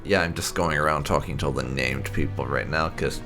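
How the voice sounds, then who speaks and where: A man's voice: rumbling low, a little fast, music in the background. A man giving casual, rambling let's play commentary as a gaming YouTuber.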